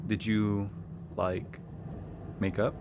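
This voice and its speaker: A man playing a laconic, awkwardly curious driver in an audio drama, speaking haltingly. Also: fairly low pitch, little pitch movement. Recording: noisy vehicle ambience.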